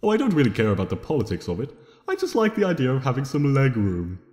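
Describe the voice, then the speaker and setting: Theatrical man with wildly swooping pitch. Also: huge pitch swings, fast, a little mellow. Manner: theatrical, playful. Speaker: fan fiction reader and narrator. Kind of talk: dramatic first-person storytelling in character as a playful trickster. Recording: reverberant room with long echo.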